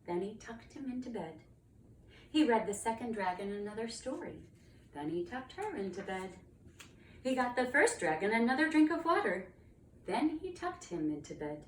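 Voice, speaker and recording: A woman's voice: a little high, very animated, normal speed. A woman narrating a children's storybook with dramatic, emphatic storytelling. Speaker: storybook reader, cantor. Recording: roomy, distant mic, faint noise.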